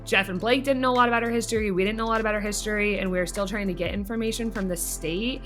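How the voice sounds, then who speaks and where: A woman with a medium-pitched voice talks through a case as a clinician. Her explanations are informal and loosely worded, in a casual conversational style, with soft music in the background. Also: fairly even intonation, racing. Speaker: behavior clinician reporting an assessment.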